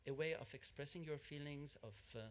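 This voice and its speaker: A man's voice: on the high side, fairly even intonation, a little fast. Man with an earnest, explanatory tone. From Lebanon. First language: Arabic. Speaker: audience member. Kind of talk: audience comment at a panel.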